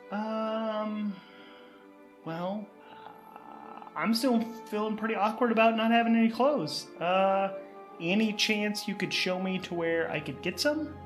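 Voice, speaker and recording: A man's voice: high voice, voice pushed up. A man playing a confused, polite amnesiac spaceship crewman who is hesitant, stammering and eager in an audio drama.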